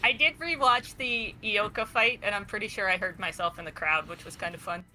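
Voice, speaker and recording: A woman's voice: mid-range voice, fairly quick, pushed and bright, a little hiss or hum, rough recording. A woman from Canada, co-hosting a podcast in casual chat, talking freely and laughing often. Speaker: podcast co-host.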